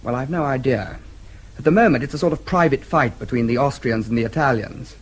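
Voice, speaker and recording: An actor playing a British diplomat and protective brother in a radio drama, clipped, courteous and formal, with an English accent. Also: male voice, medium pitch, a little fast, slightly rough, natural voice. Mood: matter-of-fact.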